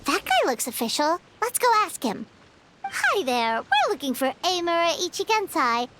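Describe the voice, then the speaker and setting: A woman's voice: wildly swooping pitch, normal speed, heavy background noise, heavily acted. A woman voicing a small, chatty, childlike fairy sidekick in a video game. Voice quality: squeaky, childlike. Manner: perky, refers to self in third person. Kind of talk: video game character dialogue.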